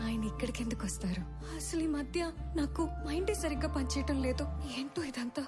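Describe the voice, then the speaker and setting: A woman's voice: sits high, a little fast, lightly acted. An actress playing a troubled, bewildered young woman in a film drama. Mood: confused, upset. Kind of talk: Telugu film drama dialogue. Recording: film soundtrack with very loud music.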